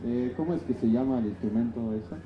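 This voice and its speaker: A man's voice: medium pitch, fairly quick. A man asking polite, hesitant questions as an interviewer. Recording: dull, echoey, noisy space with crowd.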